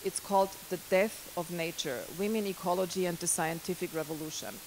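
Middle-aged woman, lecturing into a handheld mic, lotsa ums and restarts, lowish voice, slight hiss. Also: steady tone, a little fast. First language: German. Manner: hesitant, many fillers and restarts. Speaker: guest lecturer. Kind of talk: university lecture. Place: lecture hall.

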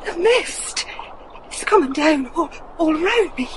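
An actress playing a frightened working-class wife in a gothic horror radio drama, breathless, pleading and tremulous. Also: female voice, high voice, animated, unhurried, noisy background. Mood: fearful, tearful. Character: terrified working-class wife searching for her husband.

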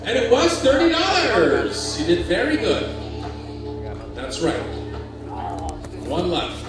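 A man hosting a game show on a cruise ship stage, animated and jokey as he calls out the amounts. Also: sits high, slow. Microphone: handheld mic, far camera. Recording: echoey hall from far off, loud music.

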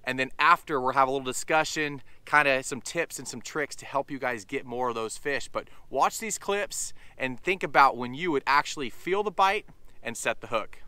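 A man's voice: mid-range voice, moderate pace, pushed and bright, clean tone, no room sound. A man in his thirties hosting a fishing channel, talking casually and upbeat to camera outdoors by a lake, addressing viewers as you guys. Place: outdoors on a sunny lakeshore.

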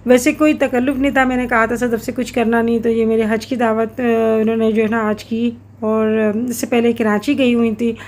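A middle-aged woman vlogging at home, talking in an earnest, reflective way with many pauses and fillers. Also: a little high, level tone, a little mellow, slight background noise. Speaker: cooking vlogger. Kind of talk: casual vlog voice-over.

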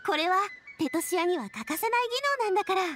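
A breezy, confident young lady, high-voiced with animated swings in pitch. She talks casually and playfully in anime game style over a music bed. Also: rapid-fire. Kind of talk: Japanese anime game voice acting. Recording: studio voice with music underneath.

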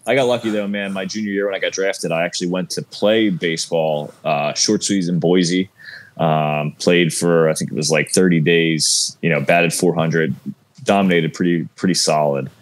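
A man in his thirties with a South Jersey accent, telling his story as a guest over a video call in a relaxed, rambling way. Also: low-pitched, moderate pace. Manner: relaxed, rambling, many fillers. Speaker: guest, former athlete. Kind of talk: casual interview storytelling. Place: room at home with shelves.

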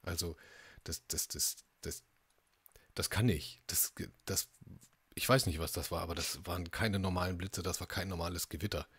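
Low, husky man roleplaying. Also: steady tone, moderate pace, fairly bright tone, no room sound. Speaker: tabletop roleplaying player. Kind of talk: improvised in-character roleplay. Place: indoor home, video call.

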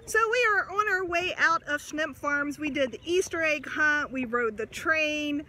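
A woman's voice: high-pitched, unhurried, pushed and bright. A woman in her forties vlogging to a phone at arm's length on a sunny farm, talking in a casual, upbeat way. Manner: chatty, upbeat, encouraging to her kids. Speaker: family vlogger. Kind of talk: casual vlog talk to camera. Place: outdoors on a busy farm in sunshine. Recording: outdoor crowd and noise behind.

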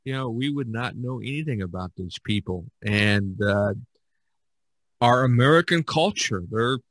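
A man hosting a podcast in casual discussion, halting and repeating himself as he searches for words. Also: mid-range voice, animated, fairly bright tone, no echo. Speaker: podcast host.

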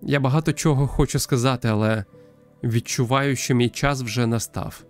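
A man voicing the weary, fond ghost of a male teacher, speaking haltingly and earnestly in a visual novel. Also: medium pitch, fairly even intonation, soft background music, natural voice. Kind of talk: visual novel character dialogue.

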